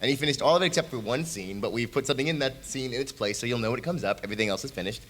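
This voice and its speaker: A man with a medium-pitched voice presents a fan convention panel. His delivery is casual and jokey, with digressions before he gets back on track. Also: rapid-fire, a bit bright. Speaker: panel presenter, audio drama creator. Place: live convention panel room.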